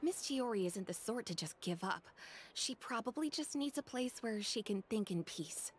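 A woman's voice: a little high, lively intonation, moderate pace, a little hiss or hum, lightly acted. A woman as an earnest young shop assistant. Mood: reassuring. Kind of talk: scripted video game dialogue.

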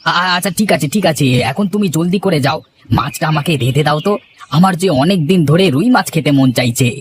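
A man's voice: on the high side, a little fast, a little hiss or hum, lightly acted. A man voicing an exasperated, hungry village husband in a comic cartoon, complaining with melodramatic flair. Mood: eager bossy. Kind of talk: Bengali comic cartoon dialogue.

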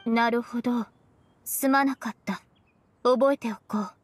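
A woman playing a stoic, blunt, otherworldly young woman in an anime game, speaking formally and deadpan. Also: on the high side, husky. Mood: stoic, curious. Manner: flat, formal, deadpan, slow. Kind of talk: Japanese anime game acting.

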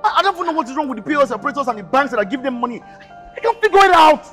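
A man's voice: very high-pitched, expressive, racing, fairly bright tone, soft background music. An actor playing an anxious, polite young man with a Nigerian accent, pleading hesitantly and repeating himself in a screen drama. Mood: agitated. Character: anxious, polite, pleading young boyfriend trying to keep peace.

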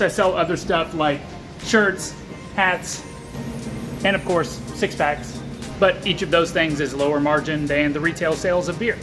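A man in his thirties with an American accent explains things to camera in a candid, think-aloud way. His voice sits a little high for a man. He is recorded indoors in a small bar, with music playing clearly behind him. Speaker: small brewery owner and vlogger. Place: small taproom bar with metal walls, indoors. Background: clear background music.

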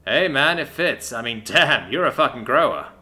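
A man's voice: mid-range voice, lively intonation, moderate pace, bright, forceful tone. A man voicing a cocky young male recruit who jokes around with loose, joshing banter. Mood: teasing.

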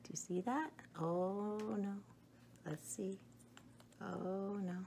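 A middle-aged woman chatting to young children in an animated, simple way in a room at home. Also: medium pitch, slow, gravelly, slight background noise. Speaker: storyteller showing children books and eggs. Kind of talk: casual chat to children.

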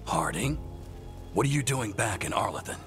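A deep, gruff, wry veteran. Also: male voice, very animated, moderate pace, a bit bright, soft background music. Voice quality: blunt, sardonic. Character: gruff veteran male magic expert, blunt and wry. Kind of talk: video game cutscene dialogue.

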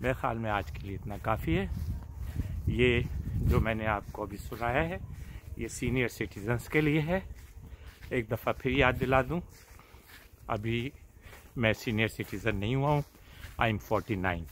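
An older man chats casually to the camera while outdoors, pausing often and addressing his viewers directly in a leisurely way. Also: mid-range voice, slight background noise. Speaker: vlogger. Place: outdoors in a suburban backyard. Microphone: phone at arm's length.

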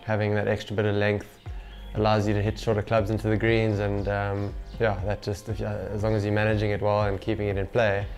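A man's voice: fairly low pitch, monotone, brisk, hardly any pauses, darkish tone. A man in his twenties, a professional athlete, chatting casually in an interview on a lapel mic. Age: 20s. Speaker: professional golfer being interviewed. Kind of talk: casual interview talk to camera. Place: small home gym room, indoors. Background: background music.

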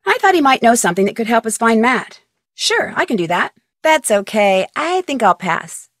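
A woman voicing an inquisitive, polite young female detective in a video game, with expressive delivery. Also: fairly high pitch, moderate pace, no echo, clean studio sound. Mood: curious, probing.

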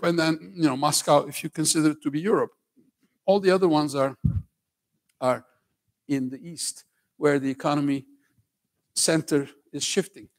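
An older man from Turkey with a slight Turkish accent, lecturing students on stage in a conversational way, often checking in with "okay?" and joking with the audience. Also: on the high side. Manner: conversational, keeps asking "okay?", jokes with the crowd. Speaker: professor giving a talk to students. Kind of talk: lively lecture to a school audience. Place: large auditorium stage.

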